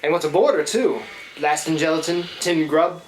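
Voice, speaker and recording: A man acting in a live radio play as a rough-spoken, easygoing Canadian river boat pilot with folksy, rambling, ungrammatical speech. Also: a little high, normal speed, close to an everyday voice. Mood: concerned, urging. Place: live stage performance in a room. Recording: roomy live stage recording, faint noise.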